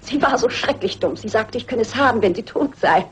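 A scheming killer played by a woman in a radio crime drama. She speaks with open contempt and gloating menace, her pitch swinging widely from phrase to phrase. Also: expressive, fairly quick, slight background noise, close to an everyday voice. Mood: gloating, menacing. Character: scheming, scornful female killer posing as harmless companion.

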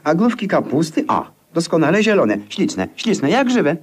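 An actor playing a naive, tactless, earnest young suitor in a grotesque 1950s Polish radio comedy. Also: male voice, fairly high pitch, big pitch swings, normal speed, slightly rough. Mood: eager, polite.

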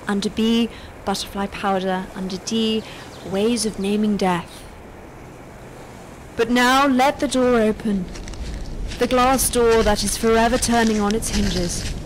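Slow, poetic elderly woman played by an actress. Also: fairly high pitch, fairly even intonation, a little hiss or hum, natural voice, poor audio. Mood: reflective. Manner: poetic, declamatory, measured. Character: elderly, weary, defiant woman narrator facing death. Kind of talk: literary radio-drama monologue.

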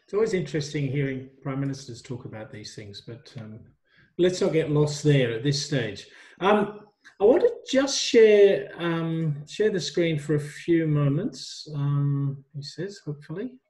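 A middle-aged man teaching a university workshop over a video call on a headset, relaxed and hesitant with many ums. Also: on the high side, measured pace. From migrant to Australia. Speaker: university tutor.

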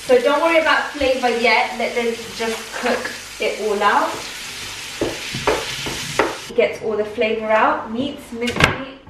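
A woman's voice: fairly high pitch, measured pace, background noise. Young woman chatting while cooking. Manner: chatty, instructive, playful. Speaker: vlogger cooking. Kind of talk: casual cooking vlog. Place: home kitchen, indoors. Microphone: camera mic from across the kitchen. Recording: roomy kitchen echo, distant camera, sizzling pan. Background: frying and stirring sounds.

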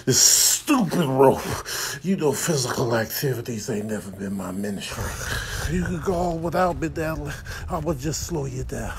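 A man's voice: mid-range voice, animated, moderate pace, background noise, voice pushed down, lightly acted. A man voicing a lazy, grumpy fat house cat, reluctant and complaining, in a comedy dub. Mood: grumpy, resigned. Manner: whiny, reluctant, grumbling.

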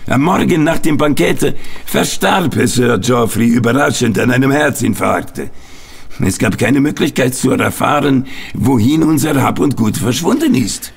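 Radio play role: hot-tempered Italian culprit, mid-pitched, gravelly and husky, confessing his failure. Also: lively intonation, a little slow, exaggerated voice. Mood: bitter recounting. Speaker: actor in an audio drama. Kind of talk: German radio play acting.

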